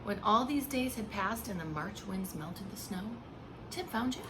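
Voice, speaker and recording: Woman, mid-pitched voice, expressive preschool storytime read-aloud, hushed emphasis, child-directed asides, clearly noisy background. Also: normal speed. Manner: simple explanations and questions addressed to small children. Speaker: pre-K teacher. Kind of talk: read-aloud of a children's picture book with chatty asides to young listeners.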